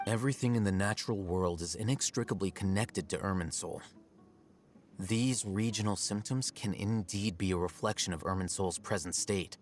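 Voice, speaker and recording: A man's voice: low voice, normal speed, natural voice. A man voicing a composed young scholar in a video game, explaining things formally. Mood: grave. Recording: music clearly behind the voice.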